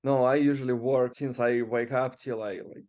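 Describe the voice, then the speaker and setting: A man from Russia who owns an agency, speaking slowly and haltingly. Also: medium pitch, fairly even intonation, darkish tone. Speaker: agency owner.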